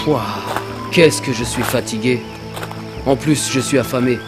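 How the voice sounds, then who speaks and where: A man voicing a greedy, sly, grumbling traveller in an animated cartoon, whining and exclaiming as he goes. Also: medium pitch, a little slow, close to an everyday voice. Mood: grumbling, weary. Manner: exclamatory, grumbling, panting and moaning. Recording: music under the voice. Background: music.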